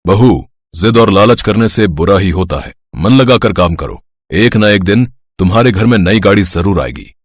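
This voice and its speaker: Guy doing office boss for a story, low-ish stern voice, businesslike, dry recording. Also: lively intonation, no echo. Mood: advising. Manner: deliberate, authoritative.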